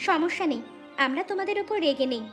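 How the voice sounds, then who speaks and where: A woman's voice: very high-pitched, lively intonation, quick pace, soft background music. A woman voicing a young daughter-in-law, proud and indignant, in a melodramatic cartoon manner. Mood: hurt, firm. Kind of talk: melodramatic cartoon story acting.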